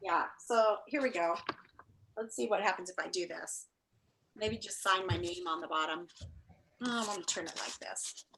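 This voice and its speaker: A woman's voice: lowish voice, normal speed, gravelly, a bit bright, a little hiss or hum, low-quality recording. A woman teacher talking and reading aloud to an online class, enthusiastic and encouraging. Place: indoors, on a video call.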